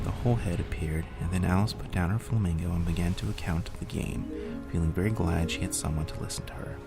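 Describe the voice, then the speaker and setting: Deep gravelly bedtime storyteller. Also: male voice. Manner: steady, unhurried storybook narration. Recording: soft music underneath.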